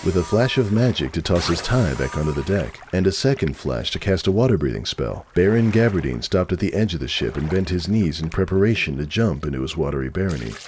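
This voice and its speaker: Deep-voiced man narrating with animated intonation. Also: a little fast, few pauses, slight background noise. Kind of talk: dramatic scripted story narration.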